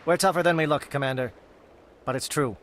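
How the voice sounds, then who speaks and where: A man as fast-talking alien army captain. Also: on the high side, fairly bright tone, a little hiss or hum, natural voice. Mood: businesslike. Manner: clipped, formal, businesslike. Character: brisk, resolute amphibian alien army captain. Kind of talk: video game voice acting.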